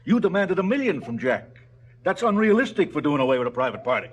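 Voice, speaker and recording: An actor playing a cynical, brooding crime boss in a stylised radio drama, sardonic and businesslike about killing. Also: male voice, a little high, very animated, fast. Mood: indignant lecturing.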